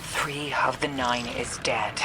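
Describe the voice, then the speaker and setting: An actress playing a stern, dutiful warrior in a video game cutscene, speaking in a clipped, businesslike way. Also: female voice, extremely low pitch, steady tone, takes it slowly, background noise. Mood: grave. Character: stern, dutiful female warrior, brisk and guarded.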